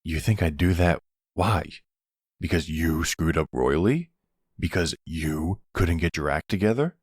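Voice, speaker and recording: A man playing a suave middle-aged mafia boss in a boyfriend audio roleplay, gravelly, measured and wry, commanding but capable of tenderness. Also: rumbling low, darkish tone, dry recording, studio quality, full character voice. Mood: stern, menacing. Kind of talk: ASMR boyfriend roleplay acting.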